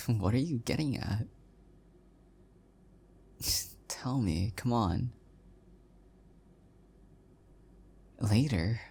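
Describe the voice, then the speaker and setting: An affectionate, oblivious young husband voiced by a man in an intimate audio roleplay. He speaks softly in a medium register, with bashful hesitation and a self-deprecating, adorkable temper. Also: mid-range voice, lively intonation, slow, creaky, slightly rough, exaggerated voice. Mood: affectionate, modest. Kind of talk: intimate audio roleplay, one-sided conversation.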